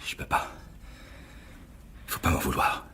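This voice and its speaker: An actor playing a disturbed killer in a radio thriller, hesitant and repetitive, apologising with soft menace and obsession in a breathy, unsteady voice. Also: male voice, a little slow, in a whisper. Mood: brooding regret. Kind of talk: French radio thriller acting. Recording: music behind the voice.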